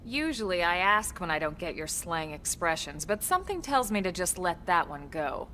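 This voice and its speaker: An actress playing a composed, no-nonsense female military officer in a video game, speaking in a crisp, measured, dry way. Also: lowish voice, very animated, bright, forceful tone, slight background noise. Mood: dryly amused. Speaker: voice actor. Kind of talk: scripted video game cutscene acting.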